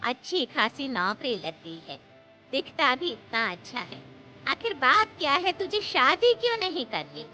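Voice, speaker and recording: A woman's voice: sits high, very animated, unhurried, pushed and bright, full character voice. A woman voicing a middle-aged Indian mother who scolds and wails over her son in a melodramatic story. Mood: exasperated. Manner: theatrical, scolding, plaintive. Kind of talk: melodramatic Hindi story voice acting. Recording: background music.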